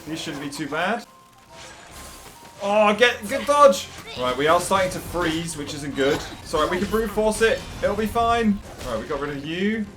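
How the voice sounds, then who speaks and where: A man's voice: high voice, animated, moderate pace, a bit bright, faint music behind. A young man giving casual, chatty gameplay commentary, playfully reacting aloud as he goes. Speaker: gaming video creator.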